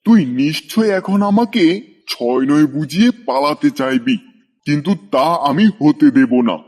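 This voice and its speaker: A cruel, greedy tiger from a moral cartoon, acted by a man, pitched a little high. He is domineering and threatening, gloating over his strength and ordering weaker creatures about. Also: animated, moderate pace, a little mellow. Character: strong, cruel, bossy, greedy male tiger. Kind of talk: scripted cartoon dialogue.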